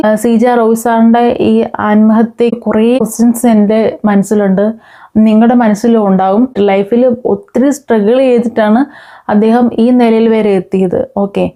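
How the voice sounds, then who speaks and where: A woman with a Kerala accent hosts informal news commentary, talking to viewers in a conversational, hesitant way with frequent pauses. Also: fairly high pitch, mellow. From Kerala, India. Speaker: online news commentary host.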